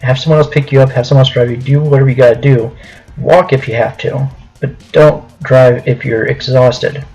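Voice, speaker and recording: A man with a somewhat husky voice at an average pitch tells a personal story casually to his viewers, rambling a little as he goes. The recording is a bit muffled, with music playing behind him. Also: fairly even intonation, moderate pace, a little mellow. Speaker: video storyteller.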